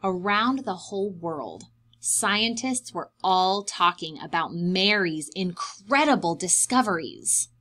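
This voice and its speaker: Young woman, preschool story-time reader. Very lively, swooping intonation, unhurried delivery, simple child-directed explanations. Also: fairly low pitch. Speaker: preschool teacher reading aloud to children. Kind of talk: expressive children's picture-book read-aloud.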